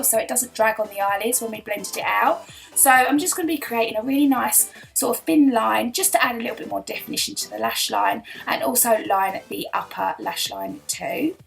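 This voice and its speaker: A young woman, hoarse from a cold, chatting her way through a makeup tutorial voice-over. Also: fairly high pitch, fairly bright tone, rough recording. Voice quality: croaky. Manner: chatty, rambling, lots of "um" and "I'm gonna be". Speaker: beauty vlogger.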